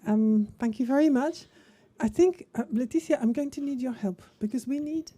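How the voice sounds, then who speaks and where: A woman's voice: high-pitched, moderate pace, dark tone. A woman moderating a policy workshop session, friendly and rambling, with frequent restarts. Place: conference room.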